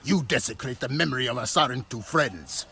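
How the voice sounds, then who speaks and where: Hostile game clan boss, lively swinging delivery, music loud behind. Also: male voice, mid-range voice, a little fast. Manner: scornful, commanding. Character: hostile male clan enforcer, proud and suspicious.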